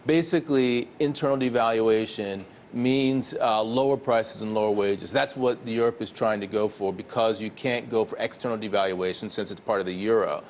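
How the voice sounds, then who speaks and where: A man's voice: lowish voice, steady tone, moderate pace, hardly any pauses, slight background noise. A man giving economic analysis as a regular TV guest, hesitant with lots of fillers, walking through figures step by step. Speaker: economics commentator. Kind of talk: TV interview analysis.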